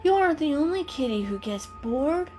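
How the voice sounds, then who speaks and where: A tiny boy kitten, acted by a woman, speaks in a high, squeaky little voice with soft music behind. He is timid yet eager and earnest, lilting like a storybook character. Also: sing-song, moderate pace. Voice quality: small, squeaky. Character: small shy tuxedo kitten, eager and innocent, male. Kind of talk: dramatic children's story reading.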